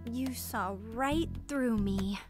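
A woman's voice: on the high side, slow pace, music in the background. An actress playing a young townswoman in a video game, anxious and conscientious, speaking in a hesitant, worried way. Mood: evasive, nervous. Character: anxious, conscientious young townswoman, guarded but good-hearted.

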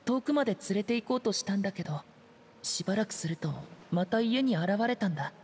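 A woman playing a shy, gentle, downcast teenage boy in an anime game, soft-spoken and hesitant. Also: medium pitch, lively intonation, brisk, slight background noise, lightly acted. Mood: subdued. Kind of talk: Japanese anime game voice acting.

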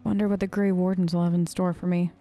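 A woman's voice: on the low side, level tone, fast talker, mellow, soft background music. A woman gamer speaking informally and conversationally as she role-plays her character in a let's play.

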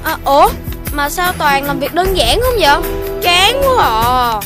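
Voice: high voice, animated, unhurried, music in the background. A performer voicing a young cartoon puppy boy, childlike and exclamatory, with a southern Vietnamese accent. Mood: bored, whiny. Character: young Dalmatian puppy boy, eager, dreams of heroism. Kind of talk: cartoon dubbing.

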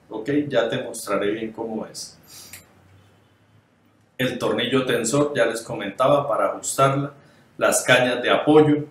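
A man's voice: medium pitch, recorded from a distance. A man giving an online dog grooming lesson over slides, explaining step by step, often checking in with his students and restarting words. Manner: halting, repeats and corrects words. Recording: echoey room, voice off-mic.

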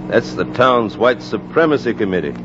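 An actor playing a worried, protective baseball coach, grave and terse, in a 1940s radio drama. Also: male voice, medium pitch, lively intonation, moderate pace, noisy background, lightly acted. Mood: worried, urgent. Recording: dull 1940s radio recording.

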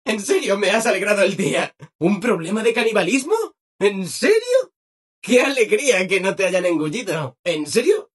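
A man's voice: high-pitched, animated, normal speed, slightly rough. A male actor with a Castilian Spanish accent playing a relentlessly cheerful, over-obliging robot assistant in a video game, chirpy and eager to please. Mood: gushing, delighted. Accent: Castilian Spanish from Spain. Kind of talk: animated video game character acting.

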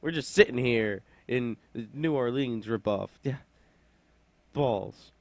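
A man's voice: medium pitch, slow pace, no echo. A man from Pennsylvania giving casual, joking let's play commentary with sarcastic asides. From Pennsylvania, USA.

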